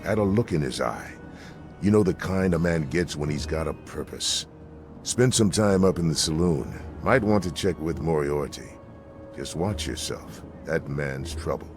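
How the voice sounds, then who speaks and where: A man voicing a folksy, steady frontier town lawman in a video game, with a plain-spoken, cautioning, drawling manner. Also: rumbling low, measured pace, heavily acted. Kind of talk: video game character dialogue. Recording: music behind the voice.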